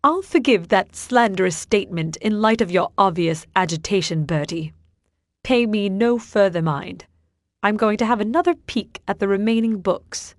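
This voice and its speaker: A woman's voice: normal speed, no room sound, lightly acted. A woman voicing a pompous older man who puts on a fake British accent and talks down to everyone. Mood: condescending. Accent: put-on British. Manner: pompous, booming, haughty.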